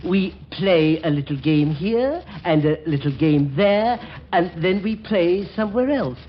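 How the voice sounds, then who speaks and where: Pompous smooth-talking fake aristocrat conman, very animated, 40s radio comedy, muffled old recording. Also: high voice, a little slow. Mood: sly, pompous. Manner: pompous, flowery, ingratiating. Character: suave, flowery old swindler posing as a titled gentleman. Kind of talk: 1940s radio comedy acting. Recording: dull, faintly noisy 1940s radio recording.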